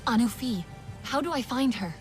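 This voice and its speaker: A woman's voice: fairly high pitch, expressive, normal speed, music in the background, close to an everyday voice. A woman voicing a determined young wanderer. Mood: earnest. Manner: earnest, pleading. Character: determined young female outsider seeking help.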